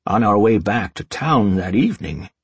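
A man voicing a frail old wizard master in a fantasy story, commanding and wistful, with an emphatic, measured delivery. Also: on the low side, very animated, creaky, slightly rough, no room sound, full character voice. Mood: reminiscing. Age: older. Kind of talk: storybook character dialogue.

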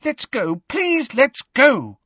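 A man voicing an anxious teenage schoolgirl in a storybook, high-pitched, breathless and worried. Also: big pitch swings, slow, bright, forceful tone, no room sound, lo-fi sound, heavily acted. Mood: terrified.

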